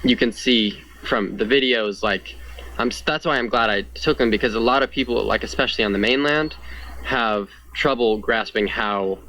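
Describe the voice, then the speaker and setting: A man's voice: medium pitch, sharp tone. Young man earnestly recounting, noisy background. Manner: earnest, conversational, frequent 'like'. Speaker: fire survivor being interviewed. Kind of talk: casual personal account in an interview. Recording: clear background noise.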